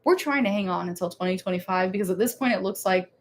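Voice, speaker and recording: A woman's voice: mid-range voice, fast talker. A woman co-hosting a casual theme park live stream, chatty and laughing, rambling with restarts.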